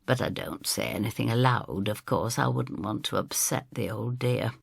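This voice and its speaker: A woman's voice: a bass voice, normal speed, creaky, slightly rough, no echo, studio quality, voice pushed down, heavily acted. A woman playing a motherly landlady who narrates her own story in a wry, homely, confiding manner. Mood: wry, world-weary. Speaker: first-person narrator. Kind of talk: dramatised scripted storytelling.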